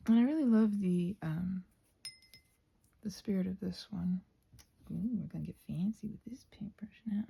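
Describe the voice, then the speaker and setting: A soft-spoken woman chatting casually in an ASMR craft ramble, often slipping into whispers as she hesitantly thinks aloud. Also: medium pitch, moderate pace, gravelly, pauses often, slightly rough. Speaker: host of a craft ramble channel. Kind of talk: casual rambling chat while painting, ASMR.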